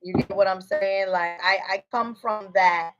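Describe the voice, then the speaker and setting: Slow-talking woman psychic, rambling. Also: medium pitch, fairly even intonation, highs cut off, soft background music. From United States. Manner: rambling, repeats "you know what I'm saying". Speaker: psychic medium. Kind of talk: casual livestream reading.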